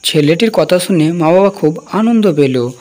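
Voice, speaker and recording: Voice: medium pitch, expressive, moderate pace, a little mellow. A narrator reading a scripted folk tale for a cartoon.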